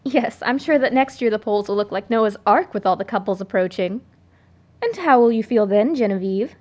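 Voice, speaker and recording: A woman's voice: medium pitch, lively intonation, normal speed, darkish tone, lightly acted. A woman playing a genteel, wry suffragist society lady in a radio play, poised and persuasive. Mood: amused, wry. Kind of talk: stage-style radio play acting. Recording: faint background noise.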